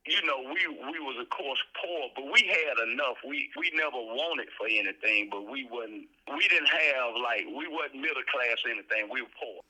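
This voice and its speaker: A man's voice: mid-range voice, moderate pace, hardly any pauses, bright, forceful tone, husky, poor audio. A man from the Memphis area, telling his personal testimony as a guest, earnestly and with frequent repeated words as he gathers his thoughts. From Memphis area, southern United States.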